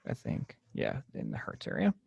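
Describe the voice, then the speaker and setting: Gravelly-voiced man explaining casually. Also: extremely low pitch, wildly swooping pitch, normal speed, a little mellow, dry recording, dull audio. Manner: casual, filler-heavy explaining. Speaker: class instructor. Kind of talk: software tutorial over a video call. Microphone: video call.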